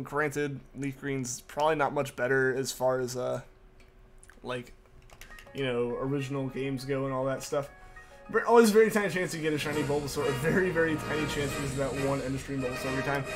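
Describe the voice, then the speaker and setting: A young man streaming live, chatting casually with viewers in a rambling way full of fillers, with a slight lingering cough. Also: mid-range voice. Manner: rambling, filler-heavy, repeats himself. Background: music playing underneath.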